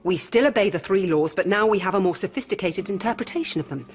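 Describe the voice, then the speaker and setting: A woman playing a calm, rational robot hybrid in human guise, speaking in measured, formal, didactic lines in a radio drama. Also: mid-range voice, natural voice. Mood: insistent, rational. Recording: music behind.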